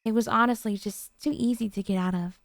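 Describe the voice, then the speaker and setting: A woman's voice: mid-range voice, steady tone, a little fast, no echo, close to an everyday voice. A woman playing an obsessive, possessive yandere girlfriend in an intimate ASMR roleplay, talking in a coy, cutesy, hesitant way to her sweetheart. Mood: sheepish justifying.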